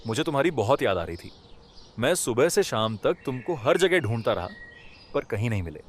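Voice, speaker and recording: A man's voice: medium pitch, big pitch swings, fairly quick, slight background noise, lightly acted. A man voicing a kind-hearted, emotional poor villager in a Hindi cartoon, speaking in a dramatic, pleading way. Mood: astonished, eager. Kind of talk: Hindi animated moral story dialogue.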